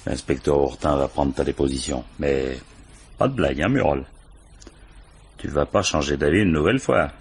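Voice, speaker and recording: Very deep-voiced, pushy police commissaire. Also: male voice, normal speed, a little hiss or hum. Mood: firm, guarded. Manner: colloquial, pressing interrogator. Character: gruff, pushy male police commissaire, world-weary and sly. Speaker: radio drama actor. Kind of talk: 1950s French radio drama acting.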